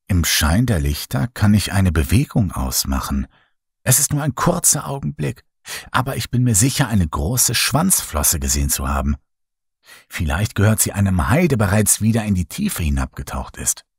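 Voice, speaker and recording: Slow, breathy male horror narrator. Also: fairly low pitch, dry recording. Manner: slow, hushed, dramatic reading. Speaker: audiobook narrator. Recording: dry close recording.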